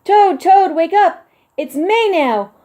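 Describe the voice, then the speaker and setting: A woman voicing an eager, cheerful male frog who calls out high and loud to wake his friend. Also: animated, slow pace, exaggerated voice. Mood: eager, calling. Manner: high and shouting when calling out.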